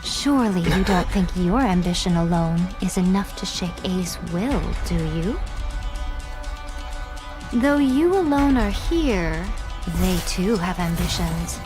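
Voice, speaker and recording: A woman's voice: lowish voice, lively intonation, slow pace, over music. A woman playing a poised, challenging figure of mystic authority in a video game, with a probing, pointed delivery. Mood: commanding. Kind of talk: dramatic video game acting.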